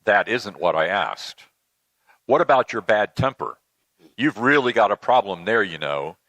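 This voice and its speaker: Man as a patient, teasing deity: conversational, admonishing, lively intonation, dry close sound, church sketch. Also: on the low side, unhurried, dry recording, full character voice. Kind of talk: dramatic reading of a comic church sketch.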